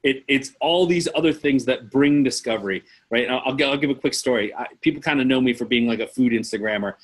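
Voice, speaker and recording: A man in his forties with a slightly rough, husky voice at medium pitch. He explains casually as a guest on a video call, checking in as he goes. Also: a little fast. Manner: conversational, often checks with "right?". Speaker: guest marketing expert. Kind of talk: casual explanatory chat with anecdotes. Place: indoor room on a video call.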